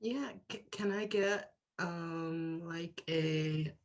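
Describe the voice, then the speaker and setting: A woman plays a nervous customer in a radio play. The voice is on the low side and crawls along haltingly, stuck on ums as it tries to order. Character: nervous, hesitant sandwich shop customer.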